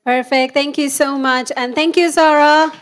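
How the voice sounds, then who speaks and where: A woman with a high voice hosts a live event. She sounds upbeat and brisk, thanks the jury and reads out introductions for each participant. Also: fairly even intonation, slight background noise. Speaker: event host. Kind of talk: hosting and reading introductions.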